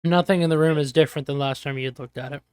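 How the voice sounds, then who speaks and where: Young male game master, smooth clear voice over a chat mic, playful and teasing. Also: fairly high pitch, steady tone, racing, darkish tone, dry recording, studio quality. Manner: joking, teasing, trails off mid-sentence. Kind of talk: casual voice chat running a tabletop game. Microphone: online voice chat mic.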